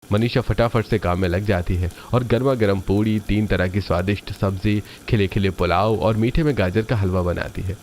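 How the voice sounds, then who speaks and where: A man's voice: on the low side, moderate pace, few pauses, darkish tone. A man narrating a scripted cartoon family story as the storyteller.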